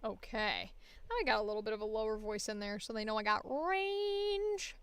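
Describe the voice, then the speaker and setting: A woman's voice: a little high, expressive, normal speed, smooth, no echo. Chatty woman voice actor thinking aloud. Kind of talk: casual livestream chat while recording auditions.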